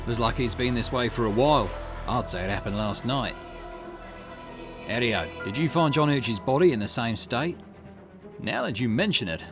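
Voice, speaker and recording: A man reads a mystery game's dialogue aloud for his channel, playing one character after another in a mid-range voice. His pitch swings widely from line to line. Game music plays clearly underneath him. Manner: switches between character lines with lively pitch swings. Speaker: gaming channel host. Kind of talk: reading game dialogue aloud in a let's play.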